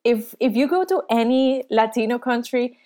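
A woman's voice: on the high side, expressive, warm tone. Woman from Costa Rica, podcast guest on a video call, earnest and thoughtful. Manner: earnest, thoughtful, many pauses. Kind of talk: conversational interview.

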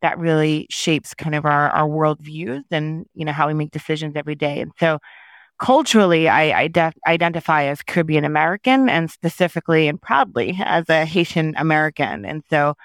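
Smooth-voiced woman, thoughtful interview guest. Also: low voice, fairly quick, no room sound. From New York. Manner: reflective, with frequent restarts and fillers. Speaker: podcast guest. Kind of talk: conversational interview answers.

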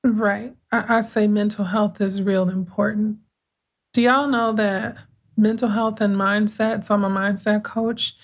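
A woman hosting her own podcast as a mindset coach, talking in an unhurried, conversational way with a soothing voice and thoughtful pauses. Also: medium pitch, steady tone. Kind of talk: casual reflective podcast monologue.

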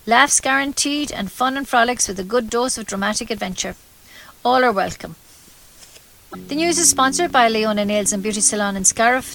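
A woman's voice: fairly high pitch, fairly bright tone, low-quality recording. A woman with an Irish accent reading scripted local news on community radio, formal with the occasional stumble.

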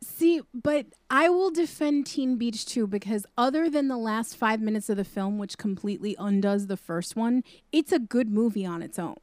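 A woman's voice: a little high, normal speed, hardly any pauses, darkish tone, no echo. A woman co-hosting a casual movie review podcast, speaking directly and emphatically. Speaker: podcast co-host.

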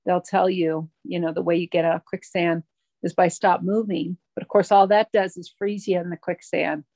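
A middle-aged woman sharing her recovery story at a twelve-step meeting, halting and reflective, with many pauses and restarts. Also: fairly low pitch, fairly even intonation, a little mellow, no echo. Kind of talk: personal recovery share.

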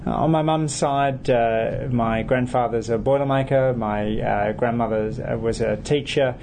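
A man, a politician answering interview questions in a measured way with frequent hesitant fillers. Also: mid-range voice, steady tone, vocal fry, darkish tone, slight background noise.

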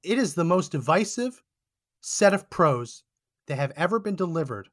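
Hoarse, high-voiced indignant male host. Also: big pitch swings, moderate pace, a bit bright. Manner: emphatic, indignant. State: hoarse, losing his voice. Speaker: podcast host. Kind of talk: political commentary monologue. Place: Texas.

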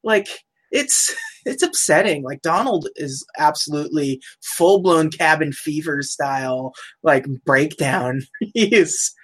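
A woman co-hosting a casual chat about old cartoons, chatty and quick to laugh, looking things up as she talks. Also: low voice, expressive, slightly rough. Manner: chatty, laughs often, looks things up aloud. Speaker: podcast co-host.

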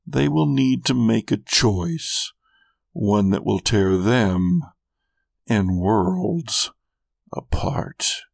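A man's voice: lowish voice, creaky, darkish tone, no echo, clean studio sound, full character voice. A man voicing a scheming, contemptuous immortal villain in a grandiose, brooding monologue for a fiction podcast.

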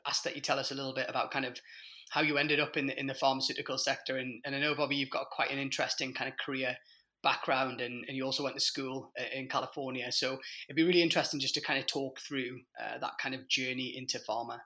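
Male podcast host, very bright tone, hesitant restarts, mid pitch. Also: flat delivery, quick pace. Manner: hesitant, frequent restarts and fillers. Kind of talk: conversational interview.

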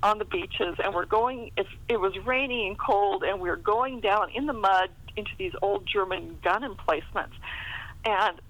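A woman's voice: mid-range voice, normal speed, highs cut off. A middle-aged woman chatting casually as a guest author, telling stories in a chatty way. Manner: chatty, animated storytelling. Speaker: guest author being interviewed. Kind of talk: casual chat about writing.

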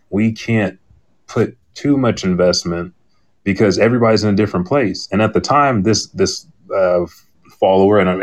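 A man with a low-pitched voice talks as a podcast host in a casual live chat. He is candid and loose, rambling through his points and slipping into retold back-and-forth dialogue. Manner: rambling, candid, retells conversations in quoted dialogue.

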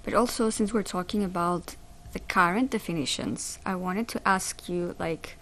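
A woman with a slightly low-pitched voice, hosting an interview. She phrases things conversationally and feels her way through questions in an informal, exploratory manner. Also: moderate pace, rough recording.

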